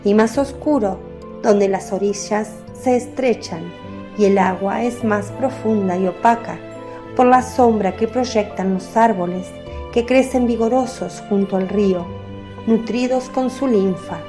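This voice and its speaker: A woman giving a measured, descriptive scripted narration as the narrator of a radio drama. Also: medium pitch. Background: faint music.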